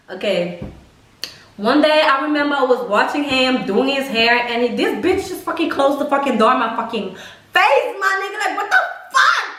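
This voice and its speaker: A young woman casually telling personal stories to camera in a blunt, expressive, slangy way with plenty of swearing. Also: on the high side. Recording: echoey room, mic at a distance.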